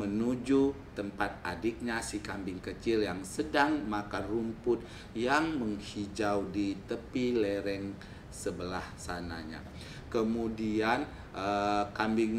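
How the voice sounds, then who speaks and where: Children's storyteller: male, fairly low voice, oral folktale delivery, roomy recording. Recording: echoey room with faint noise.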